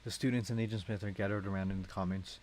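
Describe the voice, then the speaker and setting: A man's voice: low-pitched, steady tone, quick pace, darkish tone, slight background noise. Young adult male narrator, casual script read-along, informal and self-interrupting.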